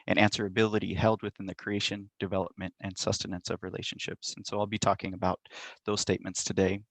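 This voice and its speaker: A middle-aged man speaks in a fairly low voice, recorded dry and close on a computer mic. He presents an academic talk in a measured, thoughtful way, pausing often with ums and uhs. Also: a bit bright, no room sound, rough recording. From Montana, United States. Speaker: university lecturer. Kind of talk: academic presentation over video call. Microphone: computer mic on a video call.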